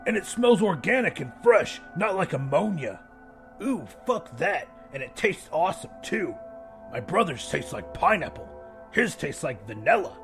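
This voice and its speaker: Man putting on loud, boastful gym-bro. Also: a little high, very animated, moderate pace, faint music behind. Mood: hyped, boastful. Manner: loud, hyped, bragging. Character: pair of boastful, meaty gym-bro brothers.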